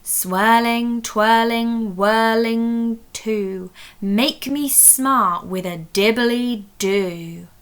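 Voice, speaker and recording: A woman's voice: fairly high pitch, extremely slow, clear, resonant tone. A woman voicing eager young schoolgirl witches in a storybook, earnest and sing-song. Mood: eager chanting.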